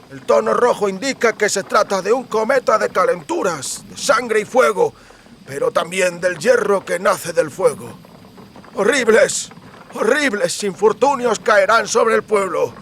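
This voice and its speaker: A man voicing a doom-laden merchant of amulets in an audiobook, declaiming ominous prophecies in slow, drawn-out phrases. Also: high-pitched, a bit bright, a little hiss or hum, pitched above the performer's own voice, heavily acted. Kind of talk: audiobook character acting.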